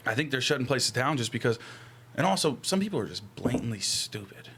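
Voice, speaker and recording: A young man with an average-pitched voice talks casually in a group podcast. He uses slang and swears, qualifying his opinions as he goes. There is some faint noise in the recording. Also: very animated, brisk. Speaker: podcast co-host. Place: small indoor room, group around table. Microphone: desk podcast microphone. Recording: noisy small-room podcast recording.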